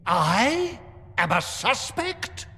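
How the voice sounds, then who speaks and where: A man's voice: sits high, very animated, very slow, bright, forceful tone, breathy edge, long reverb tail, heavily acted. An actor playing an indignant, pompous male church official in a video game, haughty and incredulous, stretching his emphasis. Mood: affronted. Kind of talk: dramatic video game cutscene acting.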